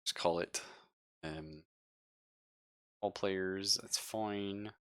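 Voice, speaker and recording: A man's voice: low voice, drawn-out words, fairly bright tone, smooth, no echo, clean studio sound. A man casually running a live tabletop roleplaying game as game master, with slangy, profane narration.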